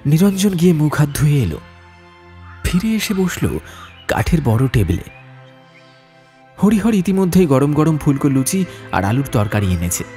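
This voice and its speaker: A man's voice: on the high side, sing-song, moderate pace, long pauses, warm tone. A man narrating a scripted ghost story as a dramatic audiobook. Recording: music bed behind narration.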